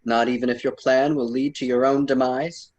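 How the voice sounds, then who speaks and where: Guy as wise old-myth storyteller in a radio drama, formal, preachy, bit husky. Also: medium pitch, little pitch movement, moderate pace, darkish tone, close to an everyday voice. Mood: grave. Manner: formal, solemn, didactic. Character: wise, patient, admonishing male storyteller and mentor. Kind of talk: amateur student radio drama acting.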